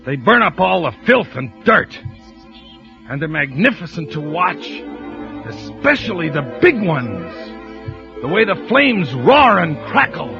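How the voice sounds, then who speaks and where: An actor playing a haunted man confessing his obsession with fires, in soft, weary 1940s radio acting. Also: high-pitched, vocal fry, full character voice. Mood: rapt. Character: haunted male firebug, quietly confessing, fire-obsessed. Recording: muffled old radio broadcast with music.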